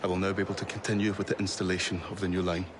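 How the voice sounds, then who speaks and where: A man's voice: sits low, little pitch movement, rapid-fire, in a large hall, background noise, lightly acted. A man voicing a flustered, fussy, polite Victorian inventor who stammers in a game cutscene. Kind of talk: video game cutscene acting.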